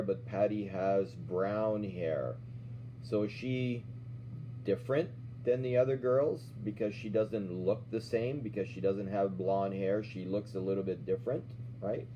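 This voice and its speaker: A middle-aged man reading a children's storybook aloud as an instructor, pausing to explain and check in with questions. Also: low-pitched, fairly even intonation. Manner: checks in with questions, often ending "right?". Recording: dull lo-fi sound with faint hum.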